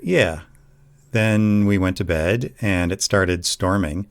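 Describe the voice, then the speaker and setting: A man playing a devoted, gentle boyfriend in an audio roleplay, talking casually and earnestly. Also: sits low, slow pace. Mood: relaxed, fond. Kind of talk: amateur audio roleplay acting.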